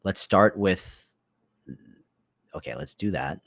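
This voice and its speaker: A man hosting a casual livestream, chatty and excitable, repeating himself as he experiments. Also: low-pitched, slow pace, dry recording, studio quality. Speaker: livestream host.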